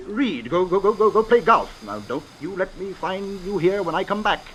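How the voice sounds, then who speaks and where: A man's voice: sits high, expressive, moderate pace, a bit bright. An actor in an old-time radio drama playing a wealthy, secretive, middle-aged father with a pompous, brusque manner who repeats his words. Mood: fussy, bossy. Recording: noisy vintage radio broadcast recording.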